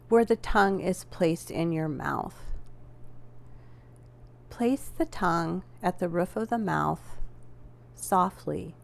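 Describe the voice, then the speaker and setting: A woman leading a guided relaxation as a yoga nidra instructor, giving gentle, measured instructions with deliberate pauses. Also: lowish voice, gravelly.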